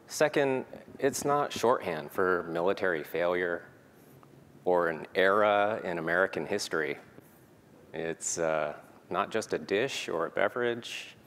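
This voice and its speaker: A man's voice: deep voice, a bit bright. A man giving a prepared conference talk, earnest and peppered with "um" fillers. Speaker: conference speaker. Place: conference hall. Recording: reverberant hall, faint noise.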